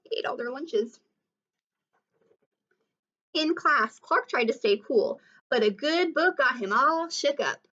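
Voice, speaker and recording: A woman teacher reading a picture book aloud to children, pausing to explain and ask questions. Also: sits high, expressive, a bit bright, lo-fi sound. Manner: explanatory, asks listeners questions. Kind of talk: read-aloud storytelling with asides to children.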